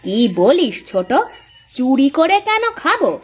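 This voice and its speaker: A woman plays the patient elder wife in a village folk-tale cartoon. She speaks mildly, protesting in a gentle way. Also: sits high, very animated, unhurried. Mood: hurt, defensive.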